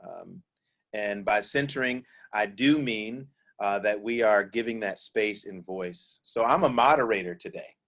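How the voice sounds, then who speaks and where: A man who pastors a church, moderating a discussion over a video call, earnest and halting with many fillers. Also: on the low side, fairly bright tone.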